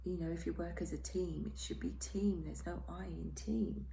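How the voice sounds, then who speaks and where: Croaky lady doing a tarot reading, hushed and reassuring, bit of faint hiss behind. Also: lowish voice, steady tone, moderate pace. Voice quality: croaky, throat-clearing. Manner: soft, half-whispered, reassuring, repeats okay. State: hoarse. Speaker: tarot reader. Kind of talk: casual tarot reading monologue.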